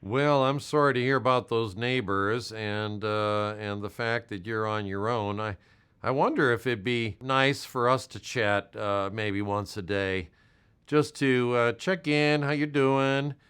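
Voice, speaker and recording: An older American man with a smooth, clear voice of medium pitch. He speaks as a volunteer on a supportive check-in call, gently and haltingly, offering reassurance. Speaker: health department volunteer caller.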